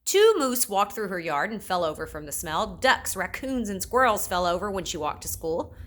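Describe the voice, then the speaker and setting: A woman reading a children's story aloud in an expressive, playful manner. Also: medium pitch, normal speed. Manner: animated, with playful repetition and sound words. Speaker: storybook reader.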